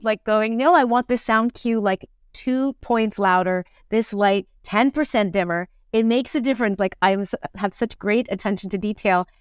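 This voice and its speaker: A woman's voice: medium pitch, clear, resonant tone, no room sound, clean studio sound. A middle-aged woman talking casually and reflectively as an interview guest, searching for words and often checking in with "right?". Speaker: guest, actor, director and acting teacher.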